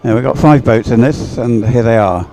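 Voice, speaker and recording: Male sports commentator, unscripted and halting, calling a race as he watches, some music behind. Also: mid-range voice, dark tone, poor audio. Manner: halting, thinking aloud as he watches.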